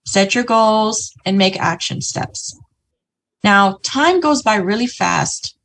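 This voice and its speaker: A woman's voice: mid-range voice, expressive, slow pace, fairly bright tone. A woman giving a chatty motivational business talk on a video call, often asking "right?" and "you know". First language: English. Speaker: business presenter.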